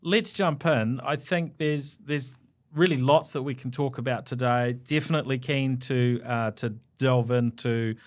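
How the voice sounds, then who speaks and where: A man's voice: medium pitch, a little slow. A man with a New Zealand accent hosting a podcast interview, casual and loose, with many fillers and restarts. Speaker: podcast host.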